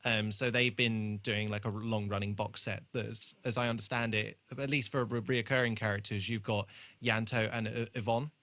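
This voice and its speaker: A man's voice: lowish voice, fairly even intonation, moderate pace, bright, forceful tone. A man co-hosting a podcast, chatting casually about television in a hesitant, meandering way. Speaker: podcast co-host. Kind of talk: casual chat about a TV show.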